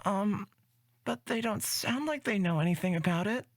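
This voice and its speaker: A woman playing a shy, gentle, hesitant boy in a fantasy visual novel. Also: medium pitch, expressive, moderate pace, no room sound, clean studio sound. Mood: unsure. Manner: hesitant, meek.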